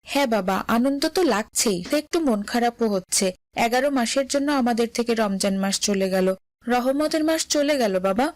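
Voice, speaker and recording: A woman's voice: on the high side, a little fast, no room sound, lightly acted. A woman voicing a devout, friendly young boy in a cartoon, earnest and courteous. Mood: wistful. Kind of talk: cartoon drama voice acting.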